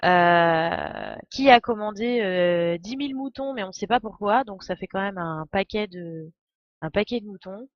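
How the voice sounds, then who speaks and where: A woman's voice: mid-range voice, expressive, measured pace, no echo, highs cut off. A woman giving casual, chatty, jokey live commentary as a game streamer.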